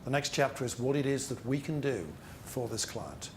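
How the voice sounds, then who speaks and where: Male seminar trainer, medium pitch, emphatic and repetitive for effect, interactive teaching style, light background noise. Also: expressive. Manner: emphatic, rhetorical questions and deliberate repetition. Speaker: business presentation trainer.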